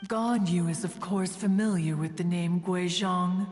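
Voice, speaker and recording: A woman's voice: fairly low pitch, unhurried, loud music behind the voice, natural voice. A woman voicing a haughty, ancient immortal bird spirit in a video game, speaking in a formal, archaic, imperious way. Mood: reminiscing. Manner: imperious, formal, archaic, refers to self as one. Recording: long hall-like reverb.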